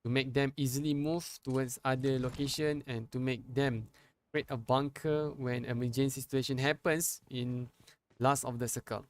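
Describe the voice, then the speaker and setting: A young Malaysian man, a native Malay speaker, doing live esports commentary in English, halting with restarts and lifting his voice when fights break out. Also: steady tone. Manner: halting, with frequent restarts and fillers; rises in pitch and volume at fights. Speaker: esports caster.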